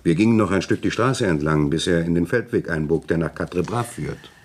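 A man playing a courteous, self-assured village notary in a German radio crime drama, husky and formally chatty. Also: low voice, brisk, darkish tone. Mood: confiding, insinuating.

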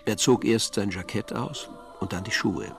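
Low, creaky dry narrator. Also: male voice, normal speed, over music. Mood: dry, measured. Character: dryly ironic male storyteller. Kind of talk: scripted literary narration in a German radio play.

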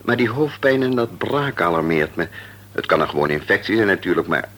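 An actor playing a calm, kindly family doctor in a Dutch radio play, with a reassuring, businesslike bedside manner. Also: male voice, low-pitched, brisk, full character voice. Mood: serious, concerned. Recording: noisy old radio play recording.

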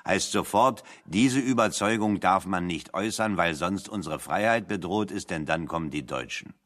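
Wry chatty man in a german radio play, really deep voice, ironic and deliberate. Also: a bit bright. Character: wry, talkative man philosophizing ironically about freedom.

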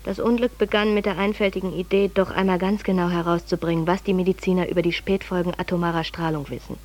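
A woman's voice: lowish voice, steady tone, fast talker, a little mellow, lightly acted. An actress playing an overwhelmed, self-ironic, pedantic woman journalist in a radio drama monologue, speaking in a dramatic, articulate way. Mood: wry. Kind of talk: radio drama monologue, letter read aloud.

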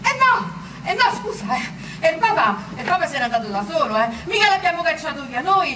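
A woman's voice: very high voice, very animated, a little fast, noisy background. An actress with a Roman accent playing a spirited woman of the people in theatrical radio storytelling, exclamatory and full of rhetorical questions. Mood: bewildered, excited. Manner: exclamatory, rhetorical, repeating words.

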